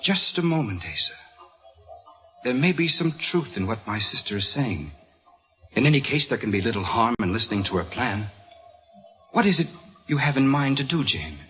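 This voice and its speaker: An actor playing a resolute, stern colonial militia leader, commanding and formal, in an old-time radio drama. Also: male voice, mid-range voice, big pitch swings, normal speed, pauses often, bright, forceful tone, faint music behind, rough recording. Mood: considering.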